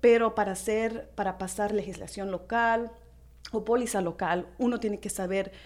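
A woman's voice: medium pitch, fairly even intonation, normal speed, darkish tone. A young woman from Chicago with a Mexican-American accent, speaking as a radio interview guest in a hesitant manner with many fillers and English words mixed in. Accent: Chicago Mexican-American Spanish. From Chicago, USA. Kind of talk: conversational interview.

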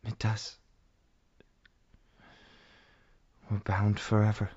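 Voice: medium pitch, monotone, normal speed, a little mellow, husky, lo-fi sound, natural voice. An actor playing a tender, frightened young boy prince and loving little brother in an amateur fantasy audio drama. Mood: subdued, trusting.

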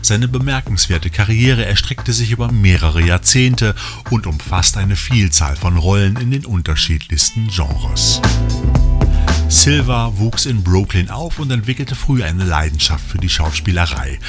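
A man's voice: on the low side, expressive, unhurried. A man presenting scripted film and release news as a podcast host, with wry asides addressed to the listeners. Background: music under the voice.

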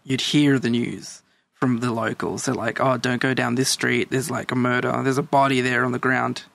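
Man, 30s, from El Salvador, gravelly, dry recording, relaxed casual chat. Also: mid-range voice, normal speed, no echo.

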